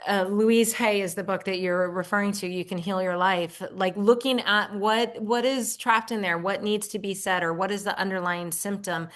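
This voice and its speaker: A woman's voice: mid-range voice, steady tone, moderate pace, hardly any pauses, a bit bright. Earnest middle-aged woman, podcast chat. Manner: earnest, talks in affirmations, frequent restarts. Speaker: podcast guest and host of her own show. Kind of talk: casual podcast conversation.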